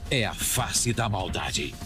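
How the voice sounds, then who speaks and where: Slow, deep Brazilian promo announcer man. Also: lively intonation. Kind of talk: dramatic TV promo voice-over. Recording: 1990s TV promo with loud music.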